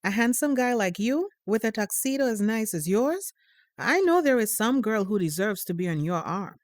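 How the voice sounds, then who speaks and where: A woman's voice: on the high side, lively intonation, normal speed, dry recording, clean studio sound, natural voice. An actress playing a caring mother of a teenager in an audio drama, affectionately teasing and nudging. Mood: playful, teasing.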